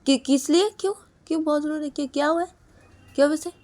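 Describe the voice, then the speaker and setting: Brief: a hot-tempered young man yelling question after question, pitched high and loud, put on by a woman storyteller. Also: animated, brisk, close to an everyday voice. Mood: insistent. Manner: shouting repeated questions. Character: angry young man, demanding answers.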